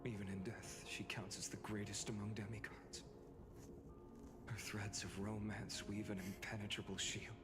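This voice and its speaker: Man playing a low, stoic soldier-hero. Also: little pitch movement, moderate pace, close to an everyday voice. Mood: grave. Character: stoic, resolute male soldier-hero, grave and duty-bound. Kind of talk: scripted fantasy game acting. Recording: long reverb, game music behind.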